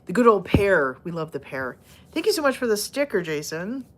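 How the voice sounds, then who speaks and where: A woman hosting a live stream at a middle pitch, slipping into soft, playful baby-talk for her cats. She laughs easily and rambles with lots of fillers. Her voice is starting to go hoarse. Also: sing-song, moderate pace. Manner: chatty and rambling, many fillers. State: losing her voice. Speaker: livestream host talking to viewers and her cats. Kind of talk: casual live chat.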